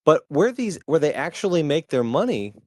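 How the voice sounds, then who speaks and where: A man co-hosting a podcast in casual, profane chat, halting and circling back to rephrase. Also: a little high, very animated, darkish tone, dry recording, clean studio sound. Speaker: podcast co-host.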